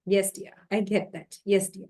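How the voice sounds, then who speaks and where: A woman's voice: medium pitch, level tone, moderate pace, mellow. A woman hosting an online interview, polite and formal, addressing her guest as dear and ma'am. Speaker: interviewer, host.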